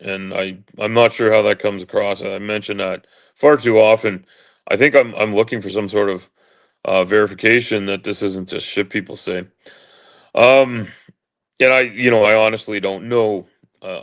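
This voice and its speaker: A man in his late forties with a deep booming voice, talking haltingly with many fillers while in pain and trying to keep his voice even. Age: 40s. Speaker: vlogger. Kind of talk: casual monologue.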